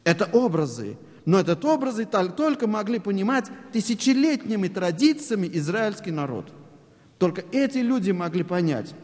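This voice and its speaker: A man's voice: sits high, a little mellow. A man preaching a sermon in Russian, which is not his first language. He explains at length, poses rhetorical questions, and uses many fillers. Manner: speaks Russian as a second language. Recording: echoey hall.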